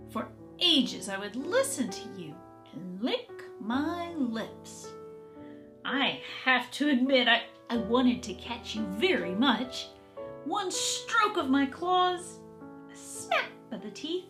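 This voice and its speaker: Slow, wistful storybook cat read by a woman. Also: high voice, a bit bright, full character voice. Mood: dreamy longing, then hungry. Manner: dreamy, deliberate, dramatic storytelling. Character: longing, captive housecat narrating a children's storybook.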